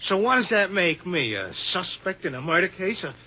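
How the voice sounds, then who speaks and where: Agitated, husky man under suspicion. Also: sits high, sing-song, fairly quick, heavily acted. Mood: agitated, defensive. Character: agitated male accident witness protesting suspicion. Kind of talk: 1950s radio drama acting. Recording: dull 1950s radio recording.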